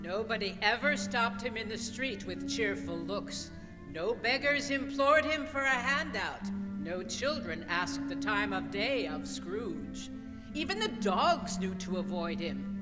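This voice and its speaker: A woman delivering scripted narration in an old-time radio style, theatrical and measured. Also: medium pitch, lively intonation. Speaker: radio play narrator and announcer. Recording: live hall, long reverb, music behind. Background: live organ music.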